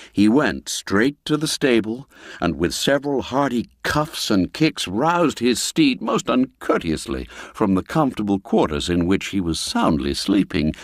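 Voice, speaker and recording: A man narrating a literary tale in a theatrical, expressive and unhurried storytelling manner. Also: lowish voice, no echo, clean studio sound.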